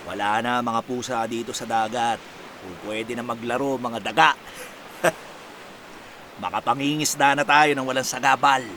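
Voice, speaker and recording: A man's voice: mid-range voice, steady tone, unhurried, long pauses, pushed and bright, slight background noise. A man playing a sly, gleeful Filipino dynamite fisherman in a radio drama, colloquial and smug. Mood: smug, scheming. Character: sly, gleeful male dynamite fisherman, bossing his cousin.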